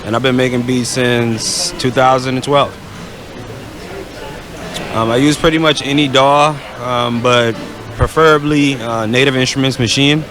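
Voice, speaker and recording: A man's voice: medium pitch, fairly even intonation, unhurried, over music. Guy from the bahamas, dj and producer, chatting about himself, normal mid voice. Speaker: music producer and DJ, contestant. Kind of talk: casual interview answers about himself.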